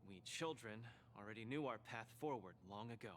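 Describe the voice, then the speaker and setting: A man's voice: lowish voice, a little fast, fairly bright tone, a little hiss or hum, natural voice. A man voicing an earnest, hot-blooded and proud young fighter in a video game, eager and fervent. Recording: music behind the voice.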